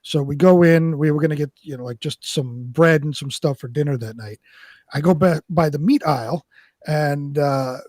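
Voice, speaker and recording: A man co-hosting a show in casual chat, telling stories in a rambling, halting way. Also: a little high, darkish tone, dry recording. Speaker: co-host of a live online show.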